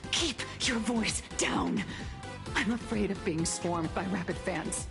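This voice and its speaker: A woman's voice: medium pitch, takes it slowly. A woman playing a bubbly, self-important female comic artist in a video game, with a dramatic, griping manner. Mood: hushed, disappointed. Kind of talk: video game character dialogue. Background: game music.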